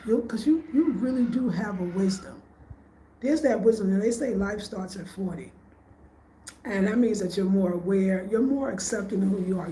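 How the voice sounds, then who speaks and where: A woman's voice: medium pitch, dark tone, slight background noise. A woman in her late fifties, chatting casually and reflectively to her viewers at home, calling them y'all. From United States. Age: 50s. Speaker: vlogger. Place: home room in Ghana. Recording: echoey room, faint ambience.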